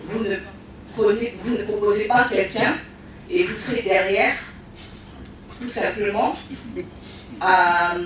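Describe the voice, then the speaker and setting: A woman from the audience putting a direct, challenging question at a public meeting. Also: mid-range voice. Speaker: audience member asking a question. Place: large meeting room. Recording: echoey hall from far off, noisy.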